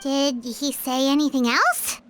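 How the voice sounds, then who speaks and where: A woman voicing a small, childlike female companion creature in a video game, squeaky, exclamatory, chatty and curious. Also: a little slow, a bit bright, faint music behind. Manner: refers to herself in third person, exclamatory. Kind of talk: video game character acting.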